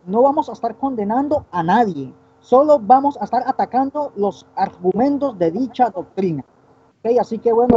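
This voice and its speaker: A man in his twenties leads an informal theological discussion as a Bible teacher, halting and often seeking agreement, on a muffled stream. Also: very high-pitched, dark tone, husky. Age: 20s. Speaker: Bible teacher co-hosting a broadcast.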